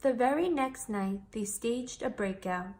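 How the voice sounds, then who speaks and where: A woman with a fairly high voice narrates a children's storybook. She reads aloud expressively, in the manner of a storyteller for young listeners. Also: a little slow, darkish tone, slight background noise. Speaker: storyteller reading a children's picture book aloud.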